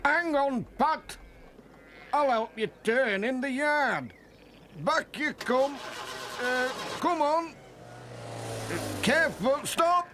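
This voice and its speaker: Guy doing a slow easygoing handyman, kids cartoon, faint noise behind. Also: very high voice, expressive, bright, forceful tone, pitched above the performer's own voice, exaggerated voice. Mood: helpful. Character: easygoing, helpful village handyman. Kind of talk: children's cartoon character acting.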